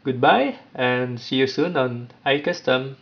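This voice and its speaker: A man presenting an informal step-by-step app tutorial, with halting, non-native phrasing. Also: mid-range voice, creaky. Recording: dull, lo-fi sound.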